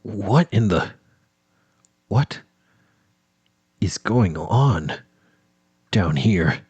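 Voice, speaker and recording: A man's voice: fairly low pitch, expressive, very slow, slightly rough, lo-fi sound. A man narrating a first-person horror tape as he explores, tense and muttering, swearing and dropping into whispers. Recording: loud background noise.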